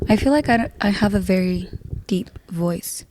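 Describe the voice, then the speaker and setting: A woman hosting a podcast in casual chat, her voice a bit raspy, laughing often and sometimes switching into Spanish. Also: mid-range voice, normal speed, noisy background. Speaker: podcast host.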